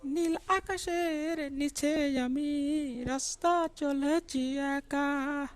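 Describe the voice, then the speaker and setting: A woman's voice: high-pitched, fairly even intonation, extremely slow. A woman voicing a greedy dreamer who sings as he travels in a children's cartoon. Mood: carefree, hopeful. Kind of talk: slow unhurried singing.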